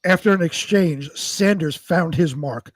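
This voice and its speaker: A man co-hosting a live show, moving between amateur scripted narration and casual, halting chat. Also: fairly high pitch, no room sound. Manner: halting, repeats words when ad-libbing.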